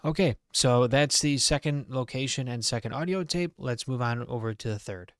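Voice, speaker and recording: A man's voice: medium pitch, normal speed, no room sound, clean studio sound. A man giving a casual game walkthrough, explaining things informally as the host of a guide.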